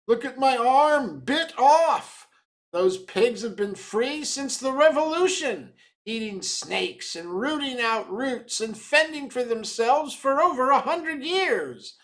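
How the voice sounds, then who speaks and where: A grizzled old small-town man acted by a storyteller, a man. He is fearful and emphatic, stretching his words slowly like an ominous warning in a dramatic tale. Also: very high voice, animated, a bit bright, voice pushed up, exaggerated voice. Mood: agitated warning.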